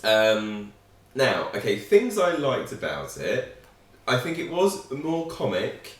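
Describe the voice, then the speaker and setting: A man's voice: mid-range voice, big pitch swings, slow pace, fairly bright tone. A man chatting casually on a reaction video, thoughtful and searching for his words. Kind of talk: casual chat. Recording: very echoey sound from a distant mic.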